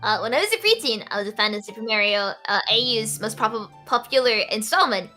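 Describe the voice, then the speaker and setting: A woman with a bright, crisp tone reads stories aloud, hesitating with fillers as she goes. Her voice sits a little high, and faint music plays behind her. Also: lively intonation, fast. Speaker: stream guest. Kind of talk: casual chat stream.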